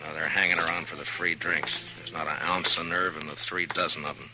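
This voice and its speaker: An American actor playing a tough, terse US marshal in a 1950s Western radio drama. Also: male voice, low voice, level tone, a little fast, close to an everyday voice, rough recording. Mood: dry, determined. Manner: terse, commanding, dry. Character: tough, stern US marshal of a frontier town. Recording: old radio broadcast with dramatic music.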